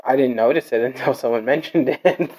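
Guy rambling in a casual chat, keeps correcting himself, normal pitch. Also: darkish tone. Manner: rambling, self-correcting, quick to clarify.

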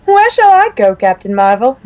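A woman's voice: fairly high pitch, sing-song, moderate pace, muffled recording, soft background music. A woman playing a sweet, wide-eyed girl superhero in an amateur comic-book radio play, dainty and exclamatory. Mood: thrilled.

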